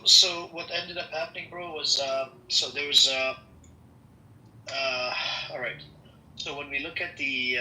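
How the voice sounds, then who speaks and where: A man talks through history in loose casual chat, stopping and restarting often. His voice is very bright and forceful. The room around him is echoey, with a faint hiss behind. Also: medium pitch. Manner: rambling, many fillers and restarts. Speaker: podcast host.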